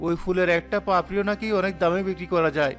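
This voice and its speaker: A man acts a devoted poor young villager in a cartoon tale. His voice is high for a man and earnestly pleading, resolute underneath. Faint music accompanies it. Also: steady tone, fast, close to an everyday voice. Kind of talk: cartoon drama dialogue.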